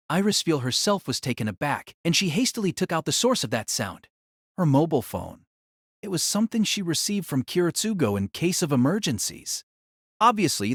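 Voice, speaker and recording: A man's voice: a little high, a bit bright, no room sound, clean studio sound. A man reading scripted novel narration for an audio drama.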